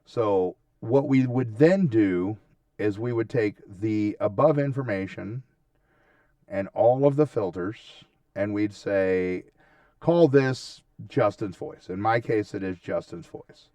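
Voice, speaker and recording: A man's voice: lowish voice, slow, dark tone, no echo, distant mic. A man hosting a casual screen-share tutorial, talking through each step informally and often checking in with a short tag question. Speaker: tutorial host.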